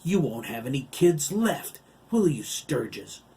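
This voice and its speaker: A man's voice: mid-range voice, lively intonation, unhurried, darkish tone, breathy edge. A man voicing a sneering, gloating giant monster villain in a storybook narration. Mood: gloating menace. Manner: gloating, taunting.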